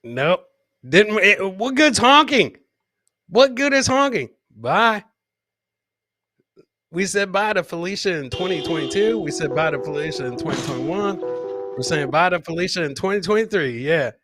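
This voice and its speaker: A man's voice: sits high, lively intonation, moderate pace, pushed and bright, no echo, clean studio sound, faint music behind. A man hosting a comedy podcast, casually commenting on clips in a boisterous, slangy way with repeated catchphrases.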